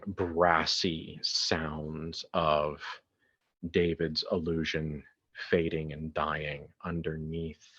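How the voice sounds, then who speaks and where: A man narrating a horror tabletop game as game master, theatrical and deliberate with long pauses, in dull lo-fi sound. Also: a bass voice, a bit bright.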